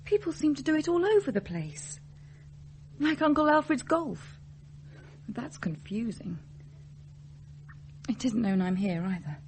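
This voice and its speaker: A woman's voice: fairly high pitch, big pitch swings, a little slow, long pauses, a little mellow, a little hiss or hum. An actress playing an evasive, self-doubting young woman in a radio drama, hesitant and brittle, with an English accent. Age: young adult.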